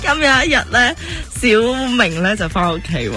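A woman guesting on a Hong Kong radio talk show in a Hong Kong Cantonese accent, telling jokes in a giggly, animated storytelling manner. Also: mid-range voice, normal speed, faint music behind. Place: radio studio.

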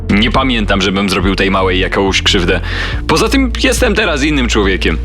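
An actor playing a smooth-talking, evasive child predator in his twenties in a dramatised true-crime radio reenactment. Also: on the low side, sing-song, moderate pace, music in the background. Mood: evasive, dismissive.

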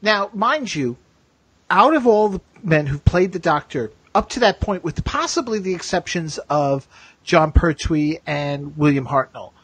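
A man's voice: on the high side, expressive, measured pace, a bit bright, breathy edge, dry recording, rough recording. A man hosting a podcast, chatting casually as a fan in a talkative, emphatic way. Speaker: podcast host.

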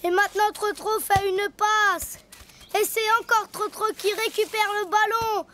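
A woman voicing a cheerful, curious little boy donkey in a cartoon, eager and lively. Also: very high voice, measured pace, slight background noise, full character voice.

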